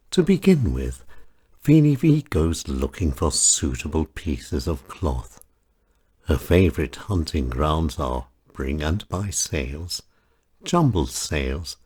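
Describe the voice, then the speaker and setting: A man reading his own short stories as a scripted narration, in deliberate, emphatic short phrases. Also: a bass voice, darkish tone, husky.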